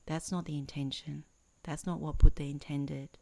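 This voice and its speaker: A woman teaching in a guided meditation, speaking in measured, earnest phrases with pauses between them. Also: low-pitched, little pitch movement, gravelly, warm tone. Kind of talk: guided meditation introduction, Dhamma talk.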